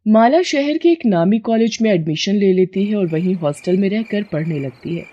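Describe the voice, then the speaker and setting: Mellow female story narrator. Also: on the low side.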